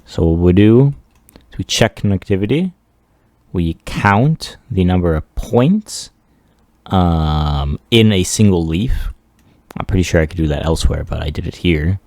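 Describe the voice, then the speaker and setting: A man giving a casual software demo walkthrough, offhand and self-deprecating as he thinks aloud. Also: low-pitched, expressive, measured pace, dry recording, studio quality. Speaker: 3D artist presenting a project.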